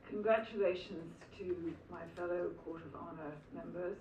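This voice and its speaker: An older woman with a low voice and a Philadelphia accent softened by English traces gives a reflective acceptance speech. She pauses now and then, and her voice rings through a large echoing hall from some distance, with faint room noise behind it. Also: steady tone, highs cut off. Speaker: honoree giving acceptance speech. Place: school hall at an awards ceremony. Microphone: podium microphone. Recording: echoey hall, distant mic.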